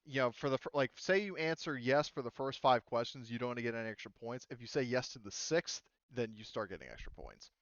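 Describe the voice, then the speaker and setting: Man, game master, smooth clear voice, casual halting explanations, dry slightly muffled recording. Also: medium pitch, expressive, no room sound. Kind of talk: casual chat, running a tabletop game session.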